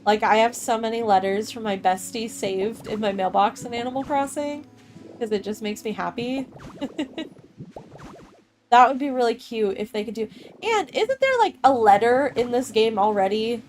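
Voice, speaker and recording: A woman's voice: a little high, lively intonation, normal speed, darkish tone, soft background music. A young adult woman streaming a game, chatting casually with viewers, rambling from thought to thought and laughing often. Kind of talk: casual gameplay chat with viewers.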